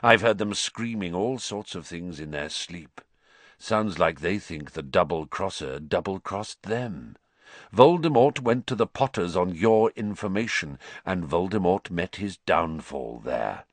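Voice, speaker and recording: A man playing a bitter, vengeful male wizard who growls his words low and with venom. The voice sits deep but moves with lively swings, and the sound is dry and close. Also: unhurried, no echo, heavily acted. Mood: menacing.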